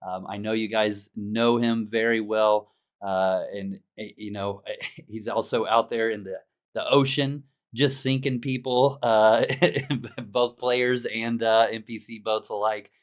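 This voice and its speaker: A man's voice: fairly low pitch, fairly even intonation, a little slow. A man hosting a casual gaming podcast, easygoing and laughing often, with plenty of fillers as he asks questions. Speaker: podcast host.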